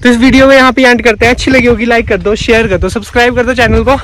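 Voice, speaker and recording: A young man talks excitedly into his phone camera, keeps calling his friend bhai, and jokes through a cave challenge. His voice sits high for a man. He records outdoors on a green hillside and inside a cramped cave. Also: racing, a little hiss or hum, rough recording. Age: young adult. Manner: excited, chatty, says bhai a lot. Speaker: vlogger. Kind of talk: casual challenge vlog. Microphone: phone camera at arm's length.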